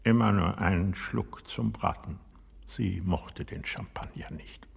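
Husky, gravelly old general. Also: male voice, low-pitched, heavily acted. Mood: curt, commanding. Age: older. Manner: slow, deliberate, terse. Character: brooding old aristocratic general, proud and commanding. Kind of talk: German radio drama acting.

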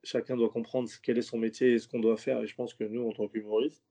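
A man's voice: mid-range voice, level tone, fast, warm tone. A man who is a stand-up comedian, talking loosely in a casual interview, slangy and rambling with many restarts. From French suburbs.

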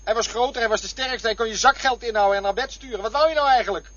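A man's voice: very high voice, fast talker, a bit bright. An actor playing a boastful, defensive man in a radio play, insistent and taunting as he repeats himself. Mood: agitated, defensive. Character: boastful, defensive man arguing over his boyhood memories. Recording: dull lo-fi radio play recording.

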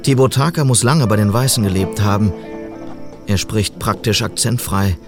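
An actor playing a dutiful western police officer in a German radio drama, gravelly, restrained and courteous. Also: male voice, fairly low pitch, normal speed, lightly acted. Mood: reflective journal. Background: music.

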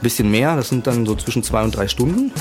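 A man's voice: medium pitch, lively intonation, brisk, soft background music. A man with a cold, a podcaster guest on a radio show, talking in a hesitant, casual way. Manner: hesitant, with fillers. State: has a cold. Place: radio studio.

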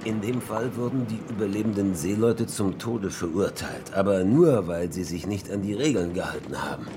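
An actor playing a starving shipwrecked man in a radio horror drama, grimly resolved yet soft-hearted, talking in a halting, urgent, persuasive way. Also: deep voice, lively intonation, noisy background.

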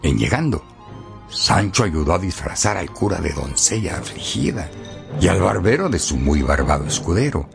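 A man narrating a scripted radio drama as a storyteller, in a dramatic and expressive way. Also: deep voice, moderate pace, gravelly, over music.

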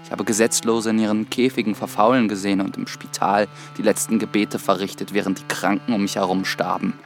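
A man's voice: fairly low pitch, flat delivery, fairly quick, vocal fry, faint music behind. A man playing an earnest, dutiful young priest in a radio drama, speaking formally and precisely. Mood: firm, piqued. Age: young adult.

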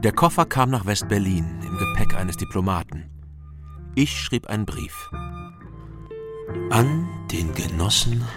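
An actor playing a guarded, dry-humoured hero who narrates a radio crime drama in terse sentences. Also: male voice, deep voice. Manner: terse, laconic, wry. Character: dry, guarded male hero telling his own story. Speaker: first-person narrator. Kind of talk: scripted noir crime narration.

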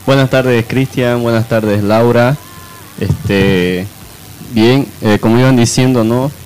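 A man co-hosting on radio in a hesitant, halting way. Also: medium pitch, fairly even intonation, darkish tone, a little hiss or hum, poor audio. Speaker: radio co-host. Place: radio programme.

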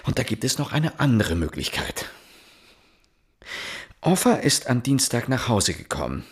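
Police interrogator, husky, animated pitch, insistent, deliberate, naturalistic radio play. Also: male voice, full character voice. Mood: deliberate, building. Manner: deliberate, pressing questioning. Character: male police inspector, insistent, probing interrogator. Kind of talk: naturalistic German radio drama acting.

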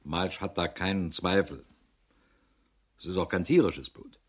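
An actor playing a businesslike male police investigator in a radio crime drama, reporting in a matter-of-fact way with a husky voice. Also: low-pitched, fairly even intonation, a little slow. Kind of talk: German radio drama acting.

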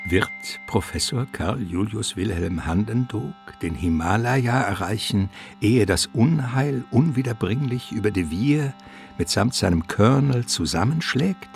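A man narrating a scripted radio play in a measured, theatrical storytelling manner. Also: on the low side, vocal fry.

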